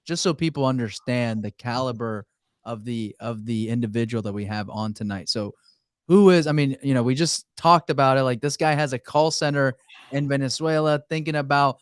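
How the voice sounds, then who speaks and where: A man's voice: mid-range voice, clear, resonant tone, no room sound, clean studio sound. A man hosting a casual interview, speaking in an enthusiastic, slangy, bro-like way. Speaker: interview host.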